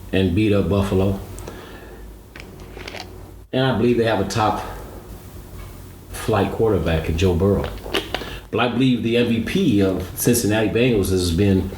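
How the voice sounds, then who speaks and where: A man co-hosting a sports talk show, laying out his analysis in a measured, assertive way. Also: on the low side, a little mellow. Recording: roomy echo, background noise.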